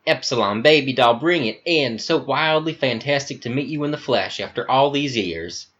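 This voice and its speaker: A man's voice: mid-range voice, normal speed, fairly bright tone, highs cut off, voice pushed up, natural voice. A man voicing a flamboyant, sinister fifty-year-old male governor with an American Southern accent, grandiose and fawning. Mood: gushing.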